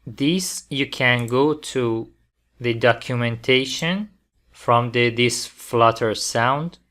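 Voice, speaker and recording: A man presenting a programming tutorial step by step, phrasing things deliberately in short, halting fragments. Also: medium pitch, a bit bright. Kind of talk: step-by-step software tutorial narration.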